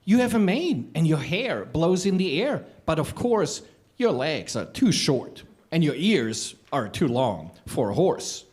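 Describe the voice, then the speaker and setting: A man's voice: fairly high pitch, very animated, a little slow, darkish tone. A man voicing a young foal who firmly corrects another animal. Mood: correcting. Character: young foal, matter-of-fact.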